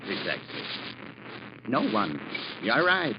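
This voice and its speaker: An actor playing a bitter, vengeful, menacing schemer in old radio drama, with a theatrical, sardonic, deliberate delivery. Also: male voice, mid-range voice, huge pitch swings. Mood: bitter menace. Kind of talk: 1940s-50s radio drama acting. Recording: muffled, very noisy old radio recording.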